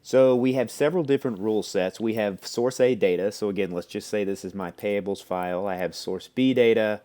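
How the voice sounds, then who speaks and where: A man presenting a software demo, explaining step by step in a conversational way. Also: lowish voice, fairly quick. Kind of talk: explanatory product demo walkthrough.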